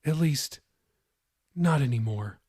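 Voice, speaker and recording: A man's voice: medium pitch, animated, takes it slowly, darkish tone, husky, dry recording, clean studio sound. A man voicing a troubled, apologetic daughter in a subdued, hesitant way for an audiobook. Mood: grave. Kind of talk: audiobook character dialogue.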